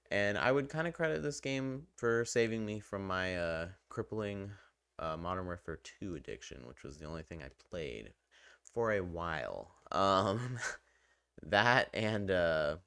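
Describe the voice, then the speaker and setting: A man with a very low, smooth voice talks through a game review like a video host. He is conversational and rambling, clearly keen on his subject. The sound is dry and close, with clean, resonant delivery. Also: fairly bright tone, no room sound. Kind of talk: casual, loosely scripted game review commentary.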